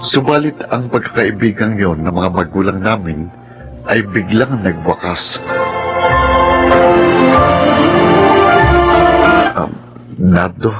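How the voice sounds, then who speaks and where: An actor playing a gentle, respectful young man in a radio drama, speaking earnestly and politely. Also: deep voice, a little slow. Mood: sad, sombre. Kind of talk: radio drama narration and dialogue. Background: dramatic music.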